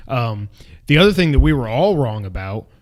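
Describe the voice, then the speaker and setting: A man's voice: medium pitch, sing-song, moderate pace. A man co-hosting a movie review, chatting informally in rambling, self-correcting sentences. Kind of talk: casual chat, film discussion.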